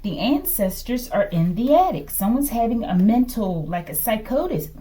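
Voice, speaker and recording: A woman's voice: a little high, big pitch swings, normal speed, warm tone. A woman giving an improvised tarot reading, thinking aloud in short fragments and often checking in with a questioning tag. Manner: fragmented delivery, murmuring to self, often ending phrases with a questioning "okay?". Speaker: tarot reader, host.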